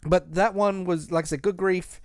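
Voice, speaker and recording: A man's voice: high voice, fairly even intonation, moderate pace, dry recording. A man hosting a podcast, chatting casually about music in a rambling way with lots of restarts. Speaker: podcast host.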